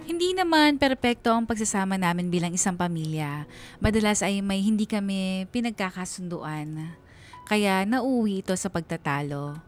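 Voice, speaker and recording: A woman's voice: medium pitch, natural voice. A woman playing a reflective single mother who narrates her own story in a radio drama. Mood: tender, reflective. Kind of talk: dramatized first-person narration.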